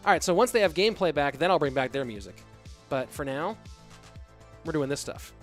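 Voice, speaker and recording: A man casually casting an esports stream, reading viewer chat aloud and answering in a relaxed, rambling way. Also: a little high, a little fast, faint music behind.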